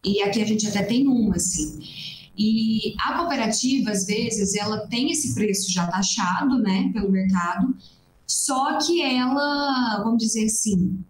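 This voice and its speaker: Young Brazilian woman, coffee-farmer guest, high-ish voice, earnest and long-winded, echoey webcam audio. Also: measured pace, slight background noise. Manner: earnest, explains at length with many restarts. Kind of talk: explanatory interview talk. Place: small indoor room at home. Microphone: laptop webcam on a video call. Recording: roomy video-call audio.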